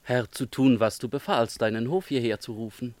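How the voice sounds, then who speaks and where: A man's voice: mid-range voice, a little mellow, no room sound, close to an everyday voice. Casting: male actor as devoted young chamberlain for radio verse drama; mid-range voice, reverent, eager to serve, dry studio sound. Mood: earnest, dutiful. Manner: measured, deferential, solemn. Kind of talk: theatrical German verse radio drama acting.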